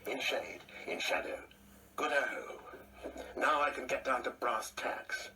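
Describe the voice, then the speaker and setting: An actor playing a pompous, insecure old English husband in an absurdist radio play, irritable, domineering and halting as he repeats his words. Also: medium pitch, steady tone, pushed and bright, distant mic, a little hiss or hum. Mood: domineering, condescending. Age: older.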